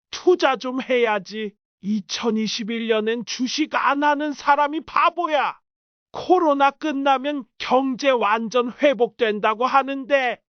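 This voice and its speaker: A woman's voice: high-pitched, no echo, dull audio. A woman playing a pushy, gossipy neighbour with a blunt, nagging way of talking in an audiobook. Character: pushy gossipy neighbourhood acquaintance urging investment.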